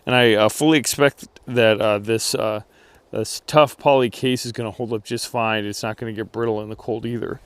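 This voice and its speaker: A man's voice: on the low side, fairly even intonation, moderate pace, smooth, no echo. Casual male gear reviewer, close dry sound. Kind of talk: casual outdoor product review.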